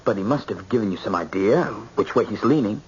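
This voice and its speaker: A man's voice: fairly low pitch, animated, fairly quick, darkish tone, muffled recording, lightly acted. A man playing an agitated, confrontational eighteen-year-old son in an American radio drama, pressing and accusing. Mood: insistent, indignant. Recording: noisy band-limited vintage radio recording.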